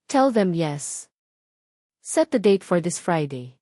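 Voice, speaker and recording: A woman voicing a calm, reassuring billionaire man in a read-aloud love story, his lines steady and mixing English into Tagalog. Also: fairly low pitch, takes it slowly, dry recording, clean studio sound.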